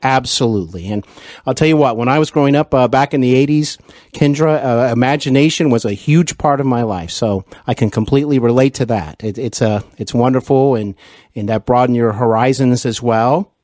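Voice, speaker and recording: A middle-aged American man hosting a conversational interview, agreeing often and phrasing things haltingly. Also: mid-range voice, a little mellow, dry recording, clean studio sound. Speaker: interview show host.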